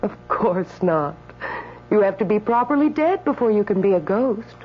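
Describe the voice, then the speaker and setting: An actress playing a sweet, coolly teasing spirit from the afterlife in a radio drama, matter-of-fact and coaxing. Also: female voice, mid-range voice, animated, normal speed, slightly rough. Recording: dull radio broadcast, faint mains hum.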